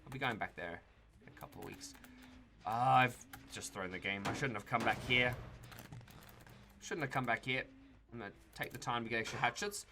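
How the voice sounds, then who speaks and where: A man's voice: mid-range voice, normal speed, pauses often, noisy background. A young Australian man giving casual commentary over his own gameplay, talking to himself and the players and swearing now and then. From Tasmania, Australia. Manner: relaxed, talks to himself and the players, mild swearing. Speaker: gaming YouTuber.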